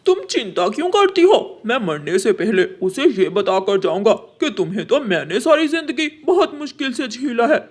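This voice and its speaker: Man acting as crow husband, cartoon, high male voice, grumbling, complaining banter. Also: very animated, moderate pace, hardly any pauses, exaggerated voice. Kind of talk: Hindi cartoon story acting.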